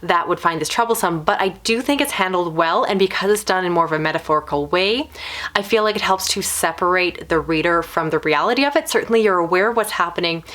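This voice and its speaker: A woman's voice: mid-range voice, a bit bright. A woman reviewing books in a casual video style, talking in long, considered explanations. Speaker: book reviewer.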